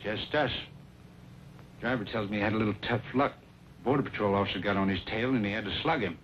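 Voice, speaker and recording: An actor playing a cold, greedy American smuggling boss in an old western radio drama, curt and bossy. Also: male voice, lowish voice, fast talker, close to an everyday voice. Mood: hard, businesslike. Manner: curt, bossy, hard-boiled slangy talk. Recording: muffled, very noisy old radio recording.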